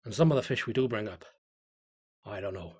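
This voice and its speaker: A man's voice: on the low side, animated, brisk, darkish tone, slightly rough. A man playing a drunk, bitter, foul-mouthed fisherman grumbling through his diary in an audio story. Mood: drunken grumbling. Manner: grumbling, swearing. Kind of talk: first-person diary narration in a horror audio story.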